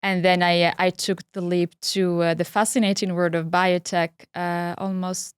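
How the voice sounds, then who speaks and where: Articulate middle-aged woman, smooth voice. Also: lowish voice, little pitch movement, moderate pace, no echo, clean studio sound. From Algeria. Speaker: guest, pharma regulatory head. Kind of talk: interview conversation.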